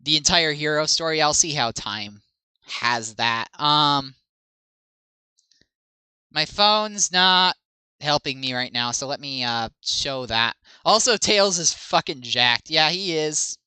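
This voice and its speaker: A man's voice: a little high, animated, takes it slowly, sharp tone, clean tone, no echo. A man giving casual, rambling commentary while streaming a game, joking about himself and laughing often. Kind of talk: casual live gameplay commentary. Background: video game music.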